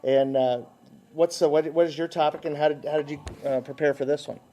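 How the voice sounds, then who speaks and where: A man hosting a casual interview, halting and repeating words as he asks friendly questions. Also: on the high side, steady tone, mellow, slight background noise. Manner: laughs easily, friendly questioning. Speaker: host.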